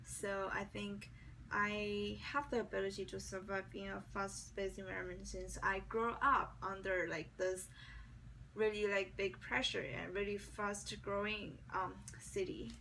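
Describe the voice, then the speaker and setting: A woman's voice: medium pitch, level tone, measured pace, creaky, sharp tone. A young woman from China with a Chinese accent, a non-native English speaker answering mock job interview questions with many restarts and fillers. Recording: distant mic, faint background noise.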